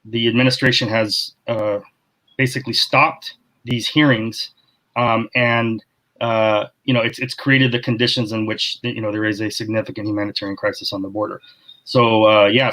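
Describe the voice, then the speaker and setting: A man speaking as a panelist on a webinar over a video call, explaining at length with halting restarts. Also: lowish voice, fairly even intonation, fairly bright tone, low-quality recording.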